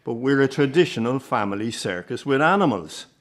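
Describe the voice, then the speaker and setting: A man's voice: mid-range voice, animated, fairly quick, a bit bright, lightly acted. An actor playing a stubborn, wounded older father with an Irish accent, in a naturalistic radio drama. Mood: wary, indignant. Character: stubborn, wounded old father of a traditional family circus.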